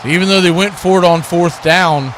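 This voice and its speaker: Guy doing live football play by play, high voice, bit of noise behind him. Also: fairly even intonation, brisk, a bit bright. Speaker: play-by-play sportscaster.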